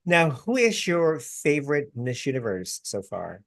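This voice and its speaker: Lively, effusive male interview host. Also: medium pitch, creaky, dry recording, studio quality. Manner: effusive, quick to praise and laugh. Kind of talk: casual interview chat. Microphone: video call.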